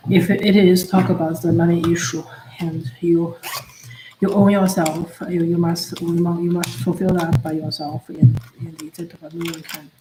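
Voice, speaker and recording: A woman whose first language is Chinese, chatting on a study livestream in a halting way, with many fillers and restarts. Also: lowish voice, flat delivery. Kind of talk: casual study-stream chat. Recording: echoey room with faint ambient noise.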